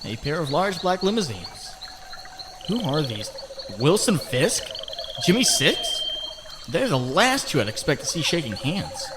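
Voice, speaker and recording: A man's voice: fairly high pitch, big pitch swings, unhurried. A man playing a young wisecracking superhero photographer in a comic book dub, sarcastic and exclamatory. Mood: sarcastic, musing. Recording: clearly noisy background.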